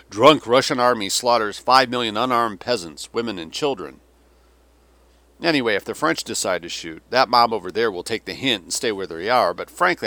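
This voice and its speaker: A man's voice: on the low side, pushed and bright, no room sound. A man reading an audiobook as a weary, vulgar old Russian general, sardonic and theatrical, given to sneering rants. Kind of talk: dramatic audiobook character reading.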